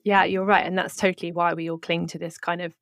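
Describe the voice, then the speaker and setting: A woman interviewer with a lowish voice and a noticeable creak. She talks in an engaged, chatty way, thinking aloud on the way to her questions. The recording is close and dry. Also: flat delivery, fast talker, fairly bright tone, dry recording. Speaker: interviewer, host. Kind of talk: conversational interview.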